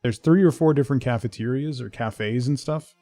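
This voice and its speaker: A man hosting a game livestream, rambling casually and haltingly as he thinks aloud and replies to viewers. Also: mid-range voice, mellow, no echo, faint music behind. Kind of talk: casual chat while playing, answering viewers.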